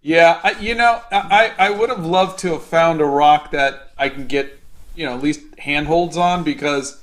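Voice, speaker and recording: A man's voice: a little high, normal speed, fairly bright tone. A man hosting a live drawing stream as the artist, talking casually and responding to viewers. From United States.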